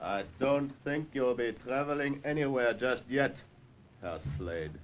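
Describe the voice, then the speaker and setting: A man's voice: medium pitch, expressive, a little slow, loud music behind the voice, close to an everyday voice. A male actor playing a cold, mock-polite Gestapo agent in an old radio drama, on a noisy recording. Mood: cold menace. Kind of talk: 1940s radio drama acting.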